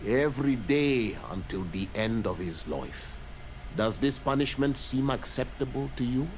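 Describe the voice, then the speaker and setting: A grave, dignified clan chief from a video game, voiced by a male actor, stern but fair. He speaks slowly and deliberately, with formal weight on each phrase. The pitch is medium for a man, with slight noise behind. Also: expressive, natural voice, poor audio. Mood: grave, judicial.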